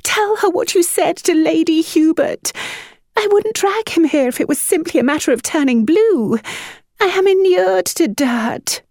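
A desperate, high, shrieking lady at her wits' end, as a woman reader puts her on. Her lines come out slow and drawn-out, full of melodrama. The sound is close and dry. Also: lively intonation, darkish tone, dry recording, heavily acted. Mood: insistent. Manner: wailing, shrill and dramatic. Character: overwrought young noblewoman, wailing mother.

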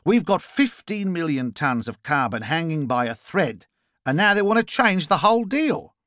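A man's voice: on the high side, big pitch swings, normal speed, fairly bright tone, no echo, studio quality, pitched above the performer's own voice. A man voicing a brash, impatient Australian businesswoman in an audiobook, abrupt and exasperated. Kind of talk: audiobook character dialogue.

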